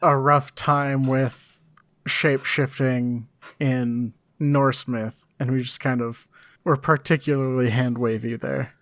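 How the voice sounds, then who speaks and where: A man's voice: mid-range voice, fairly even intonation, takes it slowly, fairly bright tone, dry recording. A man co-hosting a podcast, chatting casually with dry, understated humour. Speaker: podcast co-host. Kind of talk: casual comedic chat.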